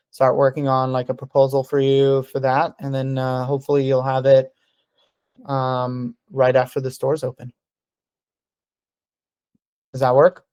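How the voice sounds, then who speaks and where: A man's voice: mid-range voice, a little mellow, clear, resonant tone, no echo, lo-fi sound. A man whose first language is Hebrew, speaking English on a casual business video call, hesitant with many ums and restarts. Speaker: sales representative on a business call. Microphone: computer mic on a video call.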